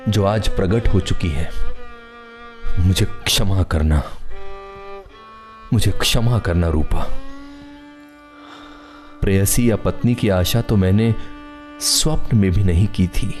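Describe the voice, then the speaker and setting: An actor in a Hindi radio play playing a solemn, wounded reclusive writer with a grave, declamatory manner. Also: male voice, fairly low pitch, a little mellow. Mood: sorrowful.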